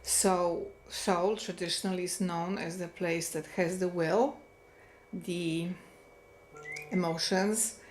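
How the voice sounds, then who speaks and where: A woman hosting, giving a reflective monologue slowly and thoughtfully, pausing often to search for words. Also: fairly low pitch, fairly even intonation, reverberant, slight background noise, poor audio. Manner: slow and thoughtful, with frequent pauses and fillers. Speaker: host.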